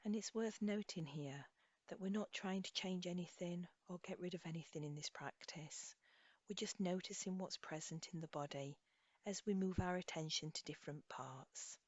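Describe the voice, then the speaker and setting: A woman leading a guided body scan meditation as an instructor, speaking softly and soothingly in an unhurried way, with phrases trailing off breathily. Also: low-pitched. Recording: slightly dull, echoey room recording.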